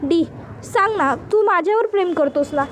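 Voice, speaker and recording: A woman's voice: very high voice, moderate pace, slight background noise. A woman voicing a lovesick young woman who pleads with her former lover, repeating his name again and again. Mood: pleading.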